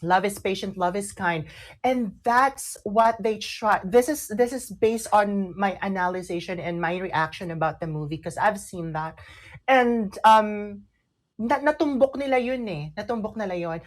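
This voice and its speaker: A woman radio co-host with a Filipino accent chats casually at an average pitch. She switches easily between languages. Her delivery is halting, as she often repeats words while finding the next phrase. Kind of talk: casual radio chat, mixing English and Tagalog.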